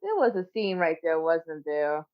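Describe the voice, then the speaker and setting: A woman's voice: low voice, big pitch swings, measured pace. Woman chatting on a dull, lo-fi mic. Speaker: podcast co-host. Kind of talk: casual film discussion chat. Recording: dull, lo-fi microphone sound.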